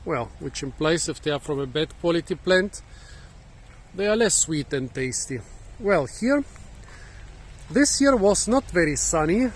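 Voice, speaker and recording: A hoarse man, musing and halting as he thinks aloud while hosting a casual fruit-tasting vlog outdoors in a garden, recorded on a phone. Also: a little high, big pitch swings. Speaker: fruit channel host. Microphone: phone camera.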